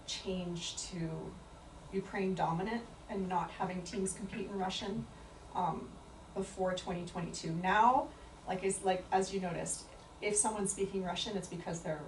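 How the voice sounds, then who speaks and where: Woman, book talk speaker, thoughtful, halting with fillers, distant mic, echoey room. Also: fairly low pitch, steady tone. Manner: thoughtful, many fillers and restarts. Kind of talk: answering audience questions at a talk. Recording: echoey room from far off with faint noise.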